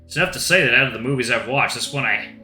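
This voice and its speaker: Man reading a story in a bright voice. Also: mid-range voice, very fast. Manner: reflective, subdued reading. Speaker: let's-play narrator. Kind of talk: first-person visual novel narration. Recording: roomy echo with faint guitar music.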